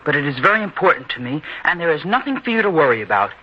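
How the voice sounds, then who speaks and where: An actor playing an earnest, stubborn young son in an old-time radio drama, speaking in an eager, theatrical way. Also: male voice, fairly high pitch, very animated, racing. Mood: stubborn, insistent. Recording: dull, noisy old-time radio recording.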